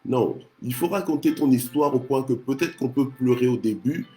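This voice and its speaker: Mellow-voiced male preacher from Congo. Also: medium pitch, moderate pace, faint music behind. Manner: punctuates speech with short exclamations of praise. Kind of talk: devotional sermon on scripture.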